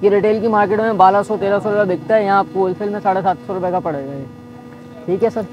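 Soft-spoken shopkeeper listing prices. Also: male voice, sits high, fairly even intonation, fast talker, highs cut off, over music. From Pakistan. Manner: patient, listing items one by one. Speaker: shop owner. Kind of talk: showing goods and quoting prices. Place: busy market shop indoors.

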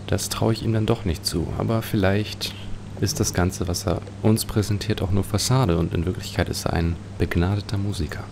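A man's voice: low voice, fairly even intonation, normal speed, gravelly, background noise. A man giving musing, speculative commentary as he plays an adventure game. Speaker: let's play host.

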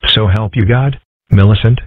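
Low, husky deadpan man as cartoon animal. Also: moderate pace, no echo. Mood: stern. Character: deadpan male cartoon animals in a comic strip. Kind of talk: comic strip dub. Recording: muffled, narrow phone-like sound.

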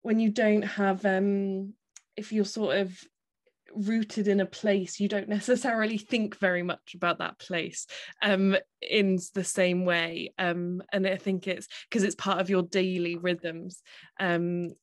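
A woman's voice: mid-range voice, flat delivery, unhurried. A woman interviewing a guest for a festival, asking long questions with frequent hesitations. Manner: hesitant, many fillers, warm laughter.

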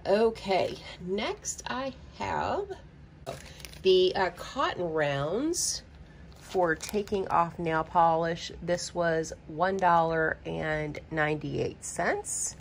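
A woman's voice: sits low, a little hiss or hum. A middle-aged woman with a Southern American accent, chatting casually through a shopping haul at her kitchen counter, with frequent ums and asides. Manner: chatty, rambling, many ums, baby talk to her cat. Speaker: budgeting vlogger. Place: home kitchen.

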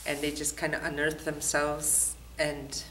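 A woman's voice: low voice, completely flat delivery, measured pace, fairly bright tone. A woman giving short, playful answers in a studio interview. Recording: distant mic with faint noise.

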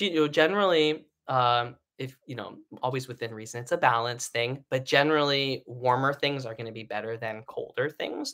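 A man's voice: mid-range voice, creaky, pushed and bright. A man in his thirties giving a singing lesson from his living room in a live stream. He talks in a chatty, encouraging way, full of fillers and restarts. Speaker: singing teacher. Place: home living room, indoors.

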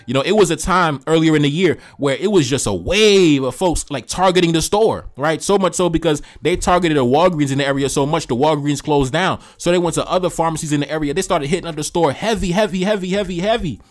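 Fast-talking man giving commentary. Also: on the high side. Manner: emphatic, repetitive, slangy. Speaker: host of a reaction show. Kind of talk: opinionated commentary.

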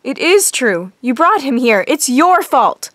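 Casting: accusing civilian, high voice, distraught, dry sound, superhero audio drama. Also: female voice, very animated, measured pace, a bit bright, no room sound, full character voice. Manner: emotional, accusatory. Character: accusing, distraught female diner bystander.

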